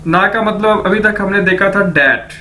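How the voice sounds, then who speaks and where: A man from Pakistan, teaching a slow language lesson and repeating each word as a drill. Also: high voice, sharp tone.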